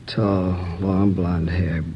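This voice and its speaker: An actor playing a shaken married man recovering in hospital after a robbery, talking in a halting, hesitant way. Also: low voice, flat delivery. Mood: weak, uneasy. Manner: hesitant, halting, stumbles over words. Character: shaken married male robbery victim, bedridden. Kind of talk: 1950s radio drama acting. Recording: dull old radio recording with faint hiss.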